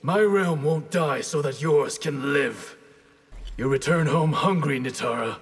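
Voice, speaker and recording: An actor playing a stern, honour-bound ninja grandmaster in a video game, with a husky voice and formal, solemn manner. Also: male voice, a little high, a little slow. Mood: defiant. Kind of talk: video game fight intro dialogue.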